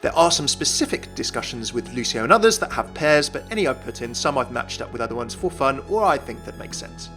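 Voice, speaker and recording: A man's voice: mid-range voice, very animated, fairly quick, hardly any pauses, bright, forceful tone, soft background music. A man narrating a scripted video game explainer as a YouTube host, enthusiastic and explanatory.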